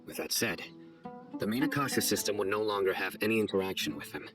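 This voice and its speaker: A stern young male investigator from a video game, acted by a man, with a low voice and formal, deliberate phrasing. He is guarded and measured, choosing his words with care. Music plays clearly behind him. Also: lively intonation, lightly acted. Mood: skeptical, measured. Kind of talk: scripted video game dialogue.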